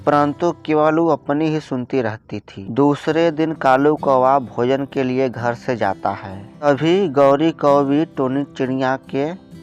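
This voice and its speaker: A storyteller narrates a scripted children's tale. The delivery is slow and measured. Faint instrumental music plays behind the voice. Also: medium pitch, a little mellow, lo-fi sound.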